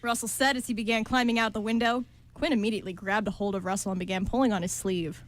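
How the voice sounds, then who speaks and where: A woman's voice: mid-range voice, steady tone, fast talker. A woman reading scripted story narration for an amateur radio play. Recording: clearly noisy amateur recording.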